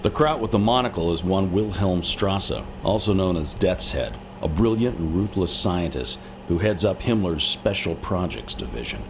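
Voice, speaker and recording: Deep-voiced wartime intelligence officer. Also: male voice, lively intonation, normal speed, creaky, few pauses, background noise. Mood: businesslike. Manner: businesslike, deferential, plain-spoken. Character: blunt American wartime intelligence officer briefing his superior. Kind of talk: scripted military briefing in a video game.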